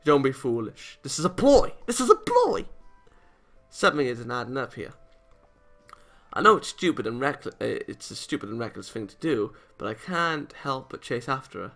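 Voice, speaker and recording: A man with a bright, forward voice at a middle pitch reads a visual novel aloud as a let's play host. He narrates and reacts in an animated, exasperated manner. Music plays faintly behind him. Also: moderate pace, vocal fry.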